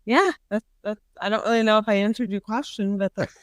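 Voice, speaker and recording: A woman co-hosting a podcast in casual chat, quick and joking, laughing often at her own lines. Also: medium pitch, no room sound. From New York. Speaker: podcast co-host.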